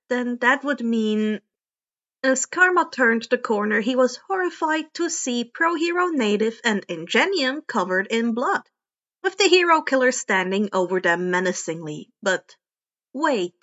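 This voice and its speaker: Female story reader, higher-pitched voice, expressive narration, slightly dull lo-fi recording. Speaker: podfic reader. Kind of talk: expressive fan fiction audiobook narration.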